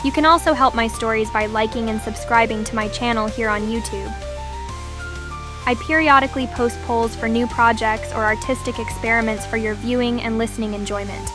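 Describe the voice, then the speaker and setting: A woman's voice: a little high, fairly even intonation, a little fast. A woman author and channel host reading a scripted, courteous appeal to listeners. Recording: clear music bed.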